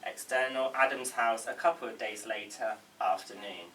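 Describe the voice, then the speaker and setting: A man's voice: on the low side, moderate pace, sharp tone. A man reading out stage directions as informal narration at a table read. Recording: roomy, distant mic with background noise.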